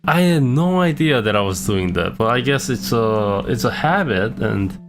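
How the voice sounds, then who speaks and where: Low-voiced accented man, hesitant and self-deprecating. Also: expressive, moderate pace. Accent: non-native English accent. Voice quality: low-pitched. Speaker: streamer. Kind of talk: casual reflective vlog. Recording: background guitar music.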